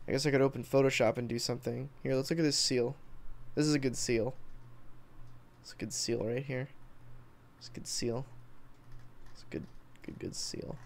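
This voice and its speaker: An American man talks in a medium-pitched voice on a casual livestream. He rambles informally, drops the odd swear word and replies to viewers as he goes. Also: fairly even intonation, moderate pace, vocal fry, pauses often. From Texas, United States. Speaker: livestreamer and video editor. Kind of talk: casual livestream chat, reading and answering comments.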